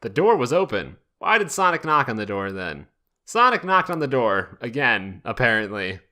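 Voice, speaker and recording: A man hosting a podcast, reading fan fiction aloud in a jokey, deliberate way with frequent asides. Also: mid-range voice, big pitch swings, sharp tone. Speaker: podcast host.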